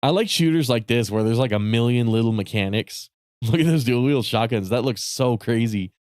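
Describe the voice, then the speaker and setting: A man chatting casually with viewers on a live stream, rambling and swearing with lots of fillers, his voice starting to go raspy. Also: mid-range voice, moderate pace, a little mellow, no echo, studio quality. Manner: rambling, profane, many fillers and restarts. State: voice getting raspy.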